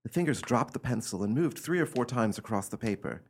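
A man playing an eccentric gentleman who recounts an uncanny family tale in a theatrical, emphatic radio drama style. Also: medium pitch, lively intonation, brisk, breathy edge. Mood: intense, secretive.

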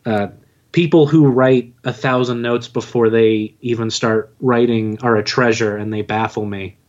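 A man's voice: medium pitch, steady tone, measured pace, a little mellow, dull audio. A man chatting casually as a podcast guest, with a joking, self-deprecating manner. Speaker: podcast guest, audio drama writer and narrator. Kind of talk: casual interview chat.

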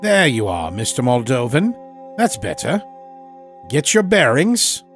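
A man's voice: mid-range voice, big pitch swings, measured pace, a bit bright, faint music behind, voice pushed up. Man acting a smug, theatrical doctor, swooping voice. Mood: coaxing, insistent. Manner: patronizing, theatrical, coaxing. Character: smug, jovial doctor running a sinister dream machine. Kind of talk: horror audio story character acting.

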